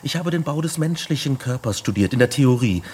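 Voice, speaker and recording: An actor playing a fearless, obsessed young science student in a radio drama, speaking with intense, articulate insistence. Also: male voice, medium pitch, animated, fairly quick, darkish tone, slightly rough. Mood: defiant, fervent. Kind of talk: German radio drama acting.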